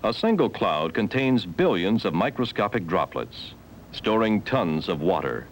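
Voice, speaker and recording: A man narrating a scripted educational film in a measured, formal, instructional manner on a 1970s soundtrack. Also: on the low side, big pitch swings, slight background noise, poor audio. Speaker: documentary narrator.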